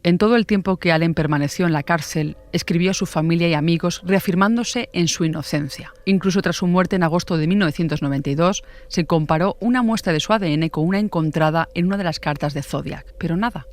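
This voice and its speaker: A woman's voice: lowish voice, fairly quick, hardly any pauses. A woman with a Spain accent narrates a true crime podcast. She reads a prepared script, telling it conversationally as a journalist presenting a case.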